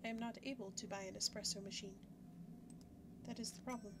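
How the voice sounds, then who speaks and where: A woman's voice: mid-range voice, fairly even intonation, fairly quick. A young woman giving casual, self-mocking commentary while playing a game, thinking aloud as she goes. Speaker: gaming YouTuber. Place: home setup, webcam in corner. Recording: loud background noise.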